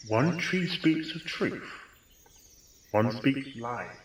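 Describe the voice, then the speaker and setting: A man's voice: mid-range voice, lively intonation, pitched above the performer's own voice. Man voicing an echoey, careful pony adventurer. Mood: pondering. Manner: measured, deliberate, polite. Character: earnest, cautious male pony adventurer solving riddles. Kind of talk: storybook character voice. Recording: echoey, noisy, distant-sounding.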